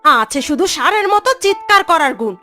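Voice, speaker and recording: A woman playing a bitter, sharp-tongued cartoon wife, scolding and complaining sarcastically. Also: sits high, lively intonation, brisk. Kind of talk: Bengali moral-story cartoon acting. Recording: music under the voice.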